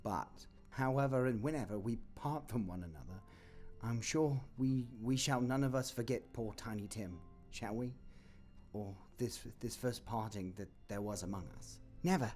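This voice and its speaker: A man voicing a humble, grieving clerk and father who talks softly and tenderly, with halting restarts, in an audio drama. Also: medium pitch, faint music behind, lightly acted.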